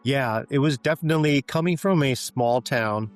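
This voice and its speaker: A middle-aged Canadian man telling his life story as an interview guest, in a measured, reflective way with frequent "um" and "you know.". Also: medium pitch, soft background music. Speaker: guest, physician executive.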